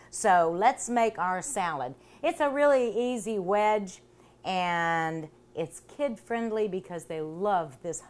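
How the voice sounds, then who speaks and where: An older woman hosting a cooking show in a home kitchen, talking in a friendly, upbeat way. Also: mid-range voice.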